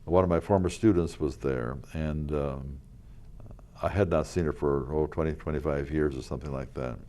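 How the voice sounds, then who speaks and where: An older man, a local historical reenactor, telling rambling stories in a casual interview, with a loud voice and many fillers and restarts. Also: rumbling low, normal speed. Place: community TV studio.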